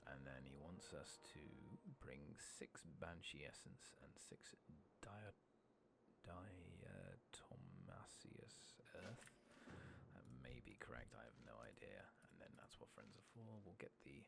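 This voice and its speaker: A man giving soft, unhurried ASMR game commentary, often dropping to a breathy whisper, his voice recovering from a cough and cold. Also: rumbling low, background noise. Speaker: host of a gameplay channel.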